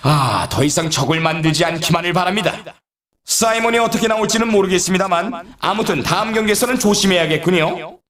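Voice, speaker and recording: A man playing an excitable snowboard race announcer in a video game, with polite, dramatic broadcast delivery. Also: sits high, a little fast, a crowd in the background. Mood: dramatic warning. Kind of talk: scripted sports game commentary. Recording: roomy echo, distant from mic.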